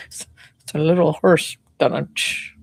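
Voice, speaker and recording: A woman in her forties, an intuitive healer, chatting casually on a live stream, talking softly with a slightly hoarse voice and many fillers. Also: low-pitched, steady tone, takes it slowly, no echo.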